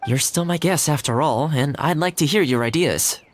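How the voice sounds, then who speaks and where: An actor playing a friendly, easygoing young male retainer in a video game, talking in a relaxed, chatty way. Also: a little high, normal speed, a little hiss or hum, natural voice. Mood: cheerful. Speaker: game character.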